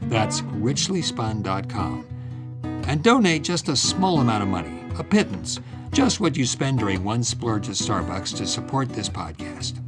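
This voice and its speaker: A man's voice: lowish voice, sing-song, a little slow. A man delivering a scripted podcast appeal in a playfully dramatic, emphatic way. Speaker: podcast announcer. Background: plucked guitar music.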